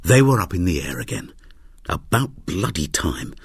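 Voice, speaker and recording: Expressive low-voiced male story narrator. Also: moderate pace, breathy edge, no room sound, studio quality. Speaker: audiobook narrator. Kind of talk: dramatic scripted story narration.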